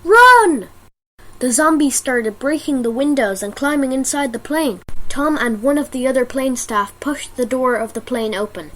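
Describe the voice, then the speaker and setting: A high female voice tells a scripted adventure story. She is the narrator of an amateur radio drama, delivering it as dramatic storytelling. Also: normal speed, hardly any pauses.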